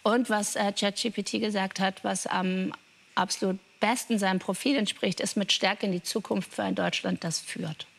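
A woman's voice: mid-range voice, fairly even intonation, normal speed, gravelly. A woman talking casually in a team discussion, presenting ideas to colleagues.

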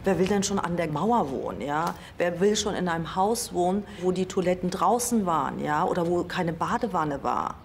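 A woman's voice: on the low side, moderate pace, few pauses, a little hiss or hum. A woman from Berlin, a chocolate shop owner, reminiscing in a conversational documentary interview. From Berlin, Germany. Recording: music underneath. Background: music.

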